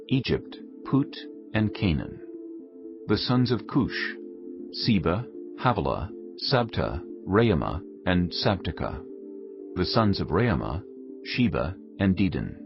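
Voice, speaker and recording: Deep, gravelly male scripture narrator. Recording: dull audio with loud music behind.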